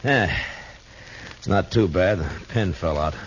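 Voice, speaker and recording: Low-voiced American Old West lawman, dry and steady, muffled vintage radio drama. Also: a little slow, slight background noise. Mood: dry, measured. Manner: terse, dry, understated. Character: steady, laconic male frontier marshal of the Old West. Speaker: radio drama lead and narrator. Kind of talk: 1950s radio drama acting and narration.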